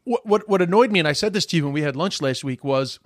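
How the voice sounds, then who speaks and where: A man's voice: fairly high pitch, expressive, fast talker, no echo, studio quality. A man in his forties with an American accent hosting a podcast in casual chat, rambling with frequent restarts. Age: 40s. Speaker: podcast host.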